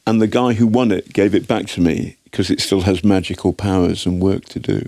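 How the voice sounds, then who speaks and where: English-accented man, low voice, wry storyteller, frequent restarts, dry studio sound. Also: a little fast, dark tone, no echo. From Britain. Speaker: guest journalist. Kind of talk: anecdotal storytelling in interview.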